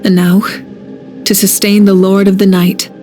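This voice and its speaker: An actress playing a resolute, composed warrior leader in a video game, speaking earnestly. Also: female voice, mid-range voice, level tone, a little slow, dark tone, faint music behind, lightly acted. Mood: solemn resolve. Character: resolute warrior archon woman, calm, self-sacrificing leader. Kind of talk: video game cutscene acting.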